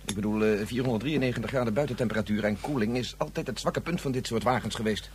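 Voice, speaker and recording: A man's voice: on the low side, fast, noisy background, natural voice. An actor playing a tense, guilt-ridden scientist father in a radio drama, hesitating and restarting as he speaks. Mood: worried. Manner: stammering, keeps saying "I mean".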